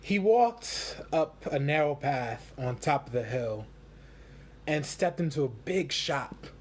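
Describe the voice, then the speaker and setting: A man reading a storybook aloud for children in simple, deliberate phrases. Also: fairly high pitch, expressive, darkish tone, slight background noise.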